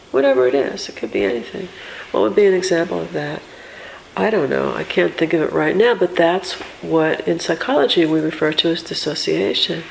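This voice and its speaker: A woman with a big voice giving an informal, reflective spiritual teaching in drawn-out, unscripted phrases with pauses. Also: on the low side, vocal fry. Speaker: meditation and chanting teacher. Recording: echoey room with faint background noise.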